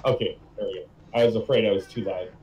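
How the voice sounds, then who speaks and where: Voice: medium pitch, fairly even intonation, fast talker, a little mellow. A co-commentator on a game livestream, chatting casually over a faintly noisy voice-chat microphone.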